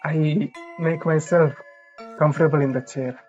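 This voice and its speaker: Slow-paced game streamer reading aloud, slightly muffled. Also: medium pitch, fairly even intonation, a little mellow. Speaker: let's play streamer reading a visual novel aloud. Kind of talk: live game read-aloud with casual side comments. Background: game music.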